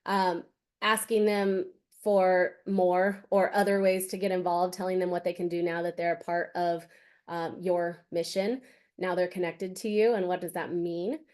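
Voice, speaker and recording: A woman's voice: on the low side, little pitch movement, unhurried, a little mellow. Upbeat woman hosting a webinar. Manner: upbeat advice with ums. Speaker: webinar host. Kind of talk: webinar presentation.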